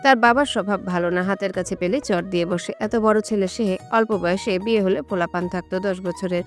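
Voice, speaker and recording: A woman's voice: medium pitch, fairly quick, faint music behind. A woman reading a scripted romantic story as its narrator.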